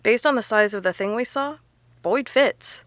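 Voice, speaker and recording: An actress playing a serious, analytical investigator in an audio drama, explaining earnestly. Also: female voice, fairly high pitch, moderate pace, no room sound, natural voice. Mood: serious puzzling. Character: serious, analytical woman investigator piecing clues together.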